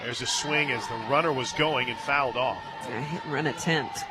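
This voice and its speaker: A man giving conversational analysis as color commentator on a live softball radio broadcast. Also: mid-range voice, normal speed, music in the background, low-quality recording. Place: stadium radio booth.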